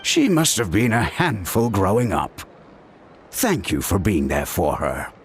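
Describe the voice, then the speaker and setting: An actor playing a caring middle-aged uncle who gently scolds his niece in a video game. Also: deep voice, a little slow, slight background noise, full character voice. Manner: warm-hearted scolding, expressive. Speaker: game character. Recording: game audio with soft music.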